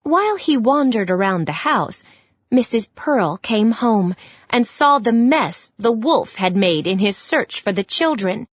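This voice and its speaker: A woman narrating a children's read-along story in a measured, expressive way. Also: on the high side, breathy edge, dry recording, studio quality.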